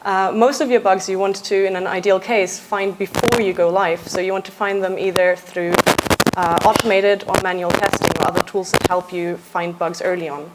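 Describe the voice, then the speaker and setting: A young adult woman from Austria, a software engineer giving a conference talk in a casual, lightly joking way with frequent uhs. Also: medium pitch, fairly even intonation, moderate pace, heavy background noise. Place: conference stage.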